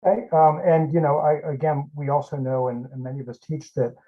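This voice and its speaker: A man's voice: a little high, fairly even intonation, brisk. A man moderating a panel on a video call, asking questions conversationally with fillers. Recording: dull lo-fi call audio.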